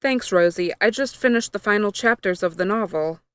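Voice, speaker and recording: A woman's voice: medium pitch, moderate pace, a bit bright, smooth, no echo, close to an everyday voice. A woman reading the storybook dialogue of a kind, easygoing mother. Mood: kind, content. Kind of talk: storybook dialogue in fan fiction.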